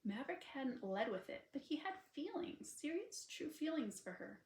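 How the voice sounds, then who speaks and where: A woman's voice: high voice, sing-song, normal speed, darkish tone. Woman reading romance in an echoey room. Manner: informal asides to listeners between passages. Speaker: author reading own novel aloud. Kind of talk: expressive audiobook narration of a sweet romance. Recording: unedited roomy home recording.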